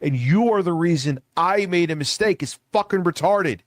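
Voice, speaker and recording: A man's voice: high-pitched, expressive, normal speed, dry recording. Blunt man talking candidly, dry close sound. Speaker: online content creator. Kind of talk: casual unscripted monologue.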